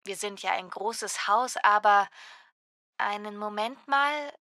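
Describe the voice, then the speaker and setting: Woman playing a helpful hotel front desk lady, bright crisp delivery, dry close sound, language lesson. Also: mid-range voice, slow, dry recording. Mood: courteous, helpful. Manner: courteous, clearly enunciated. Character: courteous, helpful female hotel receptionist. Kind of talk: scripted language-lesson dialogue.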